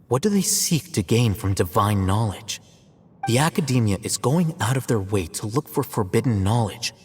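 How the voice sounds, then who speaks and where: An actor playing a cool, detached scholar in a video game, speaking in a measured, formal and deliberate way. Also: male voice, fairly low pitch, darkish tone, big-room sound. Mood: cool, disapproving. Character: cool, analytical, detached male scholar and scribe. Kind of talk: scripted dramatic cutscene dialogue.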